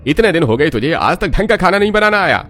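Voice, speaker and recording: A man's voice: on the high side, very animated, fast talker. Man playing a swooping, bullying, short-tempered husband. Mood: angry, contemptuous. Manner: loud, contemptuous scolding. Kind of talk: cartoon story voice acting. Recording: background music under voice.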